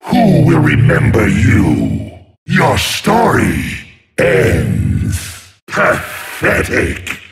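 An actor voicing a monstrous tyrant of the deep sea in a video game, with a gravelly voice and a theatrical, taunting, imperious delivery. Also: male voice, wildly swooping pitch, very slow, noisy background, exaggerated voice. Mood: gloating taunts. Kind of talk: video game character voice lines.

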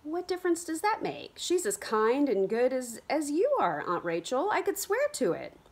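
A woman's voice: medium pitch, very animated, fairly quick, husky. A woman voicing a spirited, headstrong teenage girl who pleads earnestly, reading from a novel. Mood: earnest pleading.

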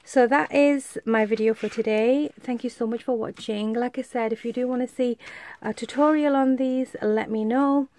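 A woman's voice: on the high side, steady tone, moderate pace, mellow. A woman from the UK, a craft vlogger with a stuffy cold, showing her cards in a rambling, chatty way with lots of fillers. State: congested, coming down with a cold. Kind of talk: casual show-and-tell.

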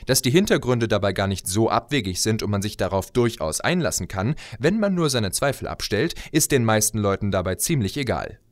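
A man delivering a scripted opinion monologue as a video blogger, conversational and expressive with rhetorical asides. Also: fairly low pitch, fairly quick, hardly any pauses, dry recording. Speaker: video blogger and fan audio drama maker.

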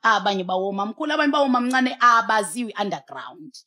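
An emphatic South African woman in a studio talk setting, close on a headset mic. Her pitch moves around in a lively way as she exhorts like a pastor, switching now and then into English. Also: quick pace. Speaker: pastor and talk show panelist. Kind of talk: animated opinionated talk, mixing in English.

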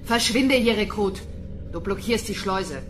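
A woman's voice: medium pitch, measured pace, over music, lightly acted. A woman playing a cold, stern military lieutenant in a sci-fi audio drama, speaking in curt commands.

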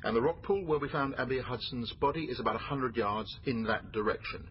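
A businesslike male detective boss, played by an actor for a radio crime drama. He speaks at middling pitch with firm authority. The sound is slightly dull with faint noise. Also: a little fast, lightly acted. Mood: brisk, commanding. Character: brisk male police detective boss running a murder inquiry.

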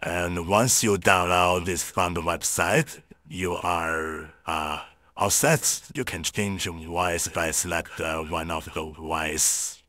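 A deep-voiced man, processed through an AI voice changer, gives an informal software tutorial. He talks slowly and haltingly. The sound is close and dry. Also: no room sound. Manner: hesitant, halting delivery. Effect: AI real-time voice changer. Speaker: YouTuber.

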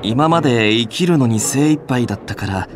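Man as an earnest, lively young alchemist. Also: mid-range voice, normal speed, over music, lightly acted. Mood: friendly, explanatory. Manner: friendly, patiently explanatory. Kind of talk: Japanese video game voice acting.

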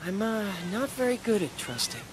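A woman's voice: medium pitch, big pitch swings, normal speed, background noise, close to an everyday voice. A woman playing an awkward, timid, good-natured teenage boy hero in a video game, hesitant and easily flustered. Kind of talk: video game cutscene acting.

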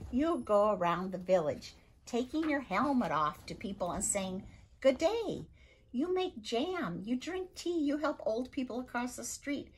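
A woman voicing a loud, blustering male Viking chief who roars his lines. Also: fairly high pitch, sing-song, measured pace, a little hiss or hum, pitched above the performer's own voice. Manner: shouting, indignant bluster.

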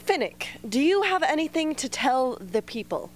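A woman playing a brisk film director who interviews rebels in an amateur radio play. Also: sits high, big pitch swings, slight background noise.

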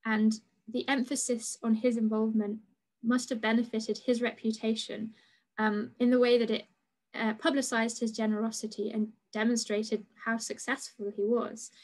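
A woman's voice: on the high side, little pitch movement, moderate pace, a little mellow. A young woman presenting research over a video call, speaking hesitantly with many fillers and restarts. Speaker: PhD researcher giving a talk. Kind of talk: online lecture presentation. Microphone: video call webcam.

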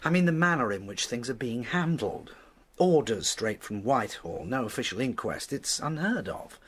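An actor playing an irascible British doctor in a radio drama, blustering, curt and pompous. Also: male voice, medium pitch, lively intonation, normal speed, a bit bright, breathy edge. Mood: indignant, curt. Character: irascible, indignant male country doctor. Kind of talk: BBC radio drama acting.

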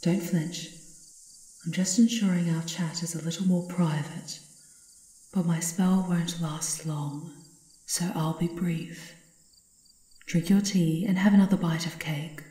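A woman's voice: lowish voice, fairly even intonation, unhurried, pauses often, mellow, husky. A woman playing a sly, knowing castle witch in an ASMR roleplay, with a teasing, confiding and theatrical manner. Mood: hushed, warning.

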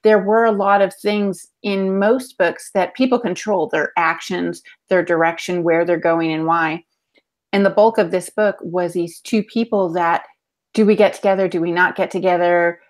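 A woman's voice: on the low side, fairly even intonation, normal speed. A middle-aged woman chatting casually and emphatically as a panelist on a video call. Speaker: panelist reading chat questions. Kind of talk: casual chat. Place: indoor home room, on a video call. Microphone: earbud headset.

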